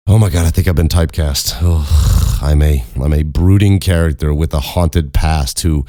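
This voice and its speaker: A young man hosting a casual Q and A, with a very low speaking voice, rambling and self-mocking and swearing casually. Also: moderate pace, mellow, dry recording, clean studio sound. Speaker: podcast host and voice actor. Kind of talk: casual Q and A chat.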